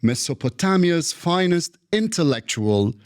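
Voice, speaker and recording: A man's voice: fairly high pitch, animated. A man hosting a podcast interview, reading a formal introduction and asking questions. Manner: slow, deliberate delivery. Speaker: podcast host.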